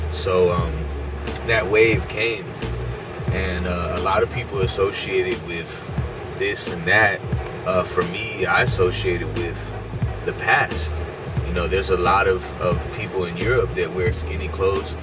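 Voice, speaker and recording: A young man talking casually in an interview, rambling, correcting himself and sounding excited. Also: deep voice. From Germany. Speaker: interview guest. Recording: distant mic, loud music behind.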